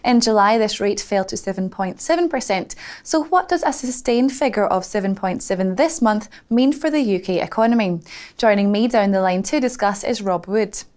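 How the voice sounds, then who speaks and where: A woman's voice: mid-range voice, expressive, normal speed, darkish tone. A woman presenting financial news, reading scripted interview questions in a formal broadcast style.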